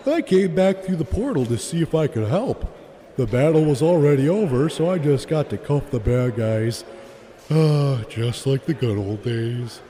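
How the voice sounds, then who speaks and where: A man's voice: medium pitch, animated, normal speed, dark tone, big-room sound, close to an everyday voice. A man playing a friendly, nostalgic father in an audio drama, easygoing and reminiscing. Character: friendly, nostalgic dad, former crimefighter.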